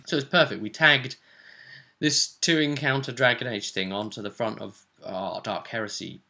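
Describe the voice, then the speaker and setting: A man in the role of game master, talking through a fantasy tabletop session. His voice is bright and forward, with a creaky, gravelly edge at a middling pitch. He tells it in a casual, rambling style with plenty of description. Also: steady tone, normal speed.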